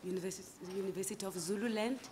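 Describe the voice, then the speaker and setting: A South African woman in her forties, answering interview questions formally and carefully, with frequent pauses and fillers, at a table microphone in a large conference room. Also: mid-range voice, noisy background. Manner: measured, hesitant with fillers. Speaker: candidate advocate interviewed for judgeship.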